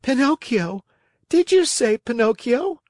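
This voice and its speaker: A woman's voice: fairly high pitch, big pitch swings, a little slow, darkish tone, no echo, clean studio sound, pitched above the performer's own voice. A woman voicing a naive wooden puppet boy in a storybook, pleading and melodramatic with a wailing manner. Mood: eager surprise. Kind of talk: storybook character reading.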